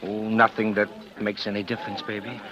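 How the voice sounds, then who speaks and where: A man's voice: on the low side, flat delivery, brisk, fairly bright tone, lightly acted. An actor playing a guarded, well-off bachelor wooing a woman in a 1940s radio drama, casual and evasive. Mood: hurt, suspicious. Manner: casual, evasive, courting endearments. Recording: dull vintage 1940s radio recording.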